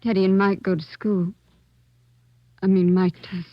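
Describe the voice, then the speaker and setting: An actress as a weary American woman in her thirties, hesitant and plain-spoken, in a 1950s radio drama. Her voice is mid-pitched, on a dull, echoey old recording. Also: little pitch movement, unhurried, lightly acted. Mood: subdued, defensive. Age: 30s. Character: weary, apologetic working woman of thirty-one raising younger brothers.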